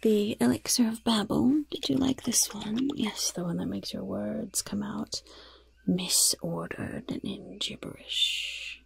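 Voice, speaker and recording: Woman playing a whispering, gravelly goblin shopkeeper. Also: mid-range voice, animated, slow pace, no room sound, heavily acted. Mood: sly, pleased. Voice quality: breathy, husky whisper with gravelly creak. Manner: sly, polite, hesitant patter with repeated words. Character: gleefully wicked, chatty goblin shopkeeper selling poisons and curses. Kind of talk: whispered ASMR roleplay, shopkeeper to customer.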